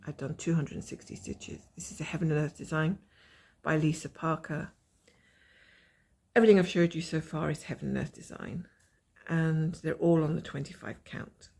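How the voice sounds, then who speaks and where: A woman with a croaky voice from a cold, chatting casually and rambling as she shows her cross-stitch progress from home. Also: low-pitched, darkish tone. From Scotland. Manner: rambling, chatty, self-mocking.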